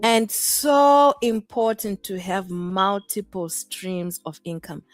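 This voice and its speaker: A woman's voice: medium pitch, animated, slow, no room sound, soft background music. A woman from Zimbabwe, hosting a casual live-stream chat in a bubbly, exclamatory way. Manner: bubbly, exclaiming, laughs often. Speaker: host.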